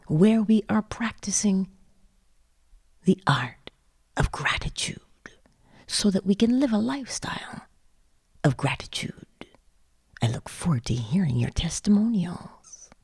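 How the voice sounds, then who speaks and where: A woman leading a soothing guided meditation in a soft, breathy voice that often slips into a whisper, with slow, gentle, drawn-out phrases and long pauses. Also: low-pitched, no echo, clean studio sound.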